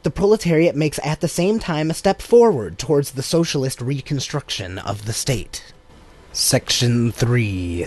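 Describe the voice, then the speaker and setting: A man's voice: mid-range voice, a little mellow, no room sound. Emphatic man reading a political text. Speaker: audiobook reader.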